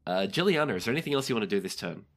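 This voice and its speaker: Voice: mid-range voice, expressive, very fast, gravelly, a bit bright. A game master with an easygoing, conversational manner, narrating a tabletop roleplaying game.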